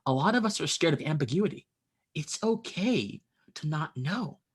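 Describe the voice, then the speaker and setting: A man's voice: a little high, animated, moderate pace, breathy edge. A man of twenty, a guest in a casual conversation, talking earnestly and philosophically with stammered restarts.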